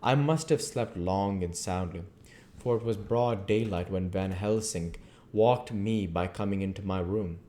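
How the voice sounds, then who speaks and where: Man quietly reading a novel aloud. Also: sits low, measured pace. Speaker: audiobook reader. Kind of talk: amateur read-aloud of a novel.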